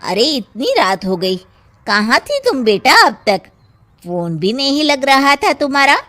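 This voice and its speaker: A fussing middle-aged mother from a cartoon family story , voiced by a woman. She is eager and emphatic, high in pitch and unhurried. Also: very animated, bright, forceful tone, heavily acted. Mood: worried.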